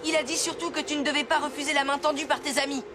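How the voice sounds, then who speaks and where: A woman voicing a brave, earnest young boy in an animated children's series. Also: high voice, very fast, noisy background, lightly acted. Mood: earnest, firm. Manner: earnest, insistent. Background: background music.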